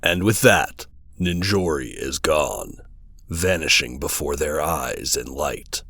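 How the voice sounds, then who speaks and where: A man's voice: rumbling low, takes it slowly, bright, forceful tone, heavily acted. A man narrating an audio drama in a scripted, dramatic storytelling style.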